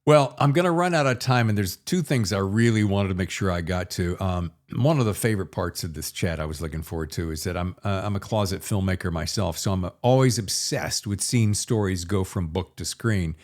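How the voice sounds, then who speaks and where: A man's voice: deep voice, a little fast, few pauses, darkish tone. A man hosting a podcast interview in a casual, chatty way with folksy phrasing. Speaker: podcast host interviewing an author.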